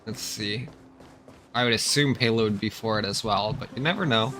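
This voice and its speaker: A man's voice: lowish voice, moderate pace. A man giving casual gameplay commentary as a streamer, musing aloud in an offhand way. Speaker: game streamer. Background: background music.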